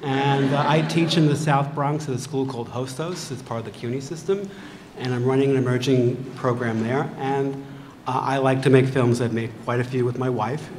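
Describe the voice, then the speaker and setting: A man's voice: medium pitch, fairly even intonation, moderate pace, in a large hall, noisy background. A man introducing himself plainly and dryly as a panelist and teacher. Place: gallery stage before a large audience. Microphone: table mic.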